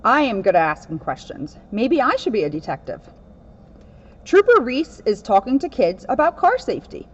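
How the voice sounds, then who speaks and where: A woman's voice: a little high, very animated, normal speed, husky. A woman, a police officer, reading a children's picture book aloud in a dull lo-fi recording. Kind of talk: read-aloud children's story narration. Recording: dull, lo-fi sound.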